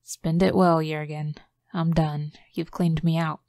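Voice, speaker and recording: A woman voicing a soft-hearted young male Viking who speaks gently in a storybook reading. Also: sits low, flat delivery, measured pace, dry recording, studio quality. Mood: resigned.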